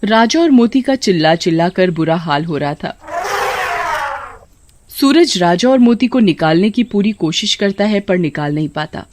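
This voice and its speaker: Casting: storyteller for animated horror tales, mid-range voice, scripted dramatic narration. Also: mid-range voice, normal speed, slight background noise.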